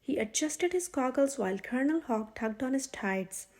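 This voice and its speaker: A woman's voice: high-pitched, lively intonation, normal speed, darkish tone. A woman reading a children's storybook aloud as a scripted narrator.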